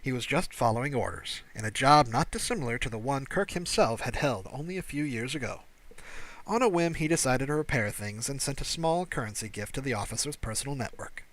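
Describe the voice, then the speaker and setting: A man's voice: mid-range voice, expressive, fairly quick, fairly bright tone, dry recording. Male audiobook narrator, very dry sound. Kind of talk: scripted fiction narration.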